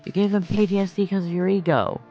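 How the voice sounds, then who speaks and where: A man's voice: high-pitched, fairly even intonation, quick pace, warm tone, muffled recording. A man voicing a protective warrior mother in an amateur dramatic reading, with earnest, accusing defiance. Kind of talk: amateur dramatic reading of comic fan fiction. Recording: music under the voice.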